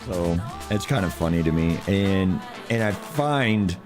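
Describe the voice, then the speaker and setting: A man streaming a driving game with a hoarse, unusually deep voice from losing it, talking quietly and chatting with viewers. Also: expressive, a little slow, music in the background. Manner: talks quietly to spare his voice; chats to viewers, chuckles at himself. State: hoarse, lost voice. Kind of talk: casual livestream commentary while playing.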